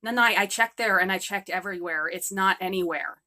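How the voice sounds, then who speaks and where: A woman's voice: mid-range voice, a little fast, sharp tone, voice pushed down, natural voice. A woman voicing a playful, forgetful ten-year-old girl who talks in an eager, whiny kid voice. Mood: pleading.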